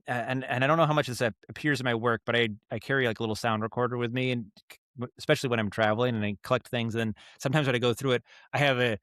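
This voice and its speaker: A man with a medium-pitched voice talks as a podcast guest in a casual, conversational way. He tells stories in an animated, halting manner, acting out little noises as he goes. The sound is dry, with very little room. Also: dry recording. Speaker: guest, audio drama writer and director. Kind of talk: casual podcast interview, storytelling.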